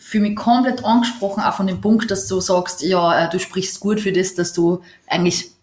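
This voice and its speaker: A woman's voice: lowish voice, fairly even intonation, moderate pace, a little mellow. A woman in a casual conversation, telling her experiences in long, earnest, winding stretches. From Austria. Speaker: podcast co-host. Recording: echoey room.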